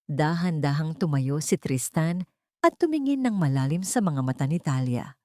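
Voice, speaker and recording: A woman narrating a scripted dramatic audio story in measured, sentimental phrasing. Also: deep voice, lively intonation, a little mellow, no room sound, clean studio sound.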